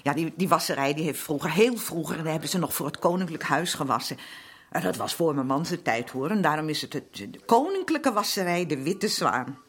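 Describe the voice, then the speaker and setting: A woman's voice: deep voice, expressive, brisk, husky. An actress playing a flustered middle-aged working-class housewife and protective mother who rambles and hesitates in colloquial speech, in a naturalistic radio drama. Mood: chatty. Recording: faint noise and clicks, old radio drama.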